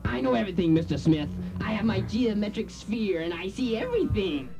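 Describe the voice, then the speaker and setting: A man's voice: high-pitched, sing-song, fairly quick, gravelly, music in the background, exaggerated voice. A man playing a gloating, wizened little evil doctor in an amateur audio drama.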